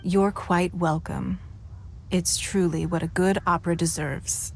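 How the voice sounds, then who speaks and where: A woman's voice: lowish voice, steady tone, a little slow, slight background noise. A woman playing a poised, powerful lady leader in a video game, gracious, formal and persuasive. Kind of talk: scripted video game voice acting.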